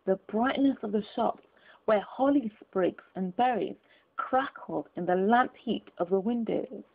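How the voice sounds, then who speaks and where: A woman's voice: medium pitch, measured pace, creaky, breathy edge. A woman reading a classic storybook aloud as scripted narration. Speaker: audiobook narrator. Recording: muffled phone-like narrow sound.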